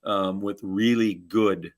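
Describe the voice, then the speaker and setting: A man's voice: lowish voice, steady tone, slow. A man, chatting casually and telling rambling, folksy anecdotes as a podcast co-host. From Wisconsin, United States.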